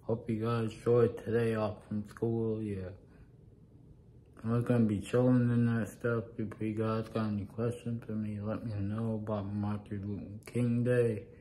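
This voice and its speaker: A man's voice: on the low side, level tone, measured pace, dark tone, a little hiss or hum, low-quality recording. A young man talking casually to his phone camera in a small living room, rambling and repeating the same filler phrases. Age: young adult. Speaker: vlogger. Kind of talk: casual vlog talk to camera. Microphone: phone camera at arm's length.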